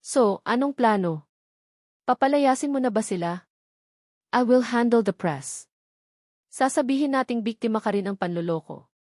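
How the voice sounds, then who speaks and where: A woman's voice: fairly high pitch, animated, normal speed, long pauses, a little mellow, dry recording, studio quality. A woman with a Filipino accent voicing a blunt, wary female political aide in a romance story, insistent and disapproving. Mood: brisk, challenging.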